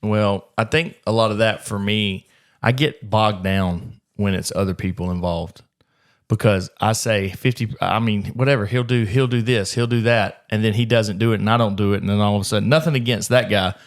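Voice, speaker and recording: A man hosting a casual podcast, telling stories quickly and rambling, with swearing along the way. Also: fairly low pitch, fairly even intonation. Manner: fast, rambling, swears freely. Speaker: gym owner, podcast host.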